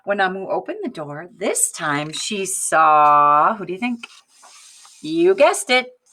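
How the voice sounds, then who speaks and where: A woman reads to young children like a teacher, slowly and deliberately. Her slightly low voice moves through a wide, animated range as she prompts her listeners. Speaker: teacher reading aloud to children. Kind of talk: picture-book read-aloud with questions to young listeners.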